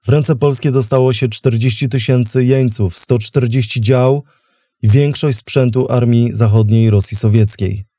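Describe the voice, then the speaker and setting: A man hosting and narrating a history programme in a measured, formal, scripted documentary style. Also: medium pitch, fairly even intonation, dark tone, no room sound, clean studio sound. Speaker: host and narrator.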